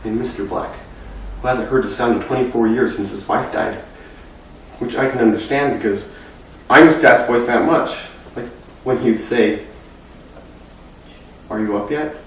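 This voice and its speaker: A man's voice: fairly low pitch, takes it slowly, pauses often, slight background noise, pitched below the performer's own voice. Young man acting grieving anxious boy, very echoey room. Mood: wistful. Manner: earnest, intense, breathless run-on telling that swells from hushed to loud. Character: grieving, anxious, talkative young boy searching New York. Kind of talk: dramatic monologue at a speech competition. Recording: very echoey room, far from the mic.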